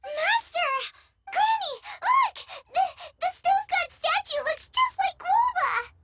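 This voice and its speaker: Very squeaky little companion girl. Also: expressive, slow pace, heavy background noise, full character voice. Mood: startled, excited. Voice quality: squeaky, childlike. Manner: exclamatory, dramatic. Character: tiny childlike floating companion girl, excitable and nosy. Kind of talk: video game voice acting.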